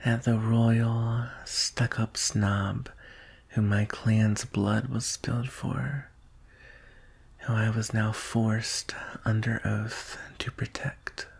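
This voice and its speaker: A man playing a devoted, solemn male dragon guard in an intimate ASMR roleplay, speaking in a hushed, wistful, husky voice that often drops to a whisper. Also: lowish voice, little pitch movement, exaggerated voice. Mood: solemn, reflective. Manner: hushed, deliberate, with long pauses.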